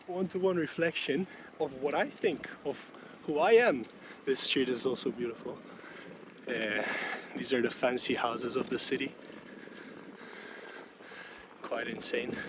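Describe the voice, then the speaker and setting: A young Brazilian man in his twenties, a native Portuguese speaker, talking in a casual, musing vlog and laughing often as he walks outdoors. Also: medium pitch. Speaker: student vlogger. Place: city street outdoors. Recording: street noise behind the voice. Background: traffic and city street ambience.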